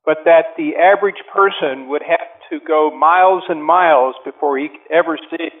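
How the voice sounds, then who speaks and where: A man speaking as an interview guest over the telephone, explaining his views in a deliberate, emphatic way with pauses. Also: a little high. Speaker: advocacy group president, interview guest. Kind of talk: phone interview, explanatory opinion talk. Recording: narrow-band tinny phone line.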